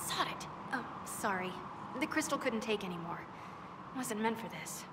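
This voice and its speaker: A woman playing an eager, scatterbrained young dwarf arcanist in a fantasy game, chatty and excitable with halting asides. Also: mid-range voice, close to an everyday voice. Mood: apologetic. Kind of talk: fantasy video game acting. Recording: distant hall with clear background noise.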